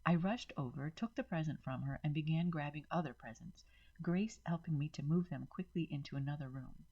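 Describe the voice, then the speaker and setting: Low husky woman narrating a story. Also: fairly quick, darkish tone, highs cut off. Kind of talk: first-person dramatic story narration.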